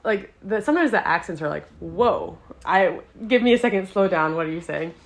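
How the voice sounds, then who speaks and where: Young woman, midwestern american accent, slightly low pitch, laid-back chatty guest. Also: moderate pace. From Wisconsin, United States. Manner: relaxed, chatty, many "like" fillers. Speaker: podcast guest. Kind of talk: casual chat.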